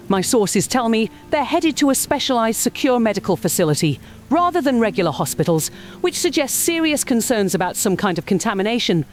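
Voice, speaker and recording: A woman's voice: mid-range voice, natural voice. A woman playing an earnest news correspondent in a radio drama, giving a serious, articulate field report.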